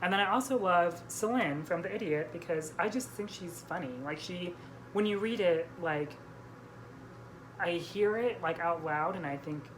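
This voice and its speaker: A woman's voice: on the low side, normal speed. A woman hosting a casual book vlog, chatting informally and peppering her sentences with "like". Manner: frequent "like" as filler. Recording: noisy room background.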